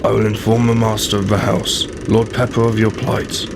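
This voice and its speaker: A man's voice: on the low side, flat delivery, normal speed. A man playing a stiff, solemn and deferential manor-house butler in a radio play, speaking formally and with measure. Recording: clear ambient noise behind the voice.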